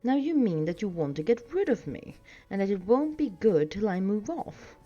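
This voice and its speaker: A woman's voice: medium pitch, very animated, moderate pace, warm tone, voice pushed up. A woman voicing a stern, teasing old wandering wizard in a wordy, pointed storybook reading. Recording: dull sound with music behind.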